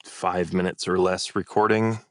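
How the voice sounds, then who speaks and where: Deep-voiced man musing on his work. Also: little pitch movement, moderate pace, no room sound, clean studio sound. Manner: thoughtful, rambling with restarts and hedges. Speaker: voice actor, podcast co-host. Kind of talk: casual chat.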